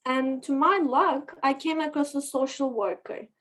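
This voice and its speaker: A woman with a somewhat high voice talks through her story in an interview. She speaks thoughtfully, pausing and restarting often with small fillers. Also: fairly bright tone, rough recording. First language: Turkish. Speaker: guest, scientist training as psychotherapist. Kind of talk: reflective interview talk.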